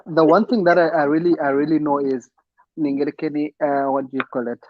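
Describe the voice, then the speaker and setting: A man's voice: on the high side, fairly even intonation, a little fast, dry recording. Stammering Zimbabwean man on a dull call. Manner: stammering, repeats words, mixes in Shona. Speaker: co-host. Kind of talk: casual panel chat.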